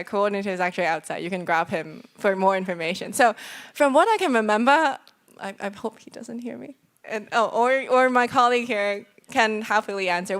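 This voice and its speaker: A young woman from Hong Kong answering audience questions at a conference, casual and quick to correct herself. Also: medium pitch, fairly bright tone. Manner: casual, self-correcting, laughs easily. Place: conference room.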